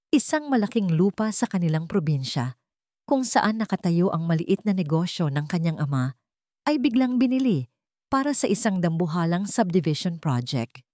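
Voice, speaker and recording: A woman narrating a scripted drama story in a measured, dramatic storytelling manner. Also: fairly low pitch, darkish tone, dry recording, studio quality.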